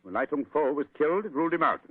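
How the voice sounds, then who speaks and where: An actor playing an assured gentleman detective explaining his deductions in an old radio drama. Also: on the high side, expressive, rapid-fire, slightly rough. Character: calm, clever gentleman detective. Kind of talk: 1940s radio acting. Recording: tinny old radio broadcast.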